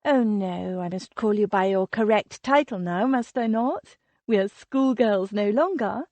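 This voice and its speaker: A woman reading an audiobook voices a catty, gossiping young Regency lady with a high, affected, gushing manner. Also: a little slow, darkish tone, no room sound, natural voice.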